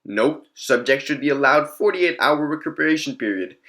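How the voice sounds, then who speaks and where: A man's voice: fairly high pitch, lively intonation, normal speed, sharp tone, voice pushed up. A man playing a clinical researcher who dictates an experiment journal in a detached, formal, matter-of-fact way. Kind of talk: scripted audio log.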